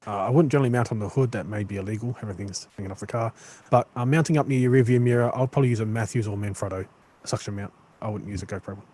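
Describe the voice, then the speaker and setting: A man on a tech panel giving quick practical gear advice with a few fillers. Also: on the low side, darkish tone, husky. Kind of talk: casual expert advice.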